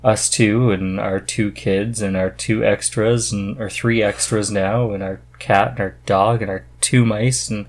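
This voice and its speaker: A man's voice: on the low side, steady tone, measured pace, few pauses. A man hosting a podcast in a casual, conversational chat. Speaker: podcast host.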